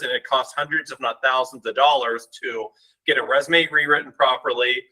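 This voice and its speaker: Middle-aged man from Ohio, co-founder type, very bright tense tone, casual explainer on a webcam at home. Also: mid-range voice, poor audio. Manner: conversational, explanatory, fillers and restarts. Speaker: co-founder presenting a product webinar. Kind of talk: casual webinar presentation. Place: home room indoors. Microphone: video call webcam.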